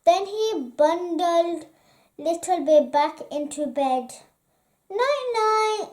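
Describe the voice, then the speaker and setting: A gentle, expressive reader telling a children's bedtime story aloud. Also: high voice, takes it slowly.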